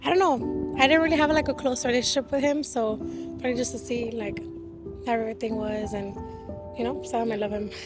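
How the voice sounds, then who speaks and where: A woman's voice: fairly high pitch, fairly even intonation, fast talker. A woman answering a street interview in casual conversational speech. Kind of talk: casual chat. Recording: music under the voice.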